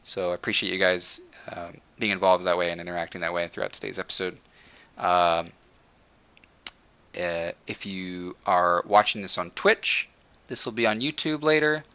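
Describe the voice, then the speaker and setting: A man's voice: deep voice, normal speed, sharp tone, smooth. A young man hosting a livestream, ranting passionately to his chat and repeating words as he thinks, his voice wearing out. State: losing his voice. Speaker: livestream host. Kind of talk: casual gaming rant to chat.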